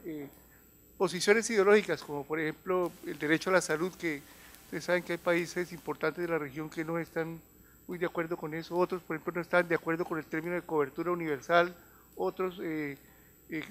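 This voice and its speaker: A man in his fifties with a Colombian accent gives a semi-formal conference presentation, explaining things in a halting way with repeated phrases. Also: high-pitched, fairly bright tone. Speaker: health organization advisor giving a talk. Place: large conference room. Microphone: handheld or table microphone.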